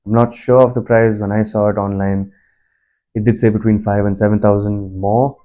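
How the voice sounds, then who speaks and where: Guy doing a chill product review, lowish dark mellow voice, just explaining stuff. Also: level tone, moderate pace, dull audio. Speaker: product reviewer and motorbike commuter. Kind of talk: casual spoken product review.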